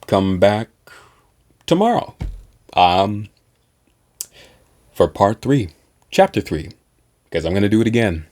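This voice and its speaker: Man with a lively, swinging pitch. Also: takes it slowly, pauses often. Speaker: amateur audiobook reader and channel host. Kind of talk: narrated novel reading and casual vlog chat.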